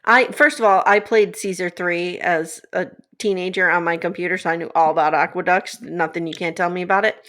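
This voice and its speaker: A woman in her forties with a raspy voice, sitting in as a podcast co-host. Her delivery is wry and joking, a relaxed conversational back-and-forth. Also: on the low side, a little fast, hardly any pauses. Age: 40s. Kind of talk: casual podcast chat.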